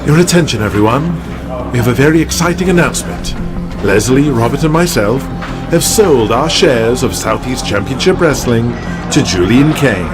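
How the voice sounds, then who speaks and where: An actor playing a nervous, deferential wrestling promoter who speaks politely and hesitantly in an audio drama. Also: male voice, medium pitch, lively intonation, moderate pace, over music, lightly acted. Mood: formal announcing. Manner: hesitant, polite, announcing formally. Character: flustered, eager-to-please male wrestling promoter, nervous and deferential.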